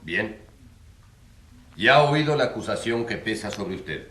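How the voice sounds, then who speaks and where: An actor playing an impartial judge presiding in a radio courtroom drama, formal, curt and authoritative. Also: male voice, on the low side, expressive, measured pace, pushed and bright. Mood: stern, formal. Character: stern, impartial male judge presiding over a tribunal. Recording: roomy echo, faint background noise.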